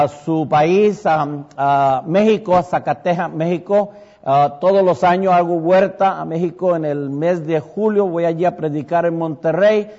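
A middle-aged man from Puerto Rico speaks with a Mexican-tinged accent in a slightly high voice. He is a pastor giving a testimony from the pulpit, wandering through fillers and self-corrections. The sound is a little muffled, with a long reverb trailing through the church. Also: darkish tone. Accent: Mexican-tinged Puerto Rican Spanish. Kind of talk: testimony to a congregation. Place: church sanctuary.

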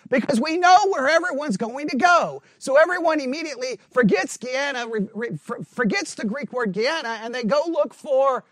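High-voiced man teaching a Bible study. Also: animated, moderate pace, hardly any pauses, a bit bright, dry recording. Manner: emphatic, asks the class questions, frequent restarts. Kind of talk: conversational Bible study lecture.

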